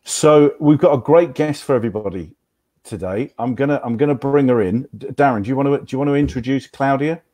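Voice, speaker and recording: An American man hosting a podcast, speaking casually with many pauses and repeated words. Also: mid-range voice, mellow. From United States. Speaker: podcast host. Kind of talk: casual conversation.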